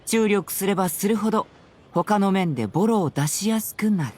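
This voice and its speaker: Fast-talking boyish young detective. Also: male voice, high-pitched, lively intonation. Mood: calm, confident. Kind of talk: Japanese anime game voice acting.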